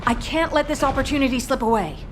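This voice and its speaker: Earnest, driven female fighter for a game, played by a woman, raised pitch, clearly noisy background. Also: fast, natural voice. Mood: desperate, determined. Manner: intense, earnest. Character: driven, solemn mother and battle-worn female fighter. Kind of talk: dramatic video game voice acting.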